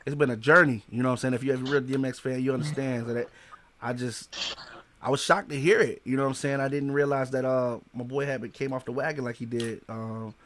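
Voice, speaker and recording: A man's voice: mid-range voice, steady tone, fairly quick, a bit bright, a little hiss or hum. A man hosting a casual podcast interview in loose, slangy talk, often adding "you know what I'm saying". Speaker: podcast host.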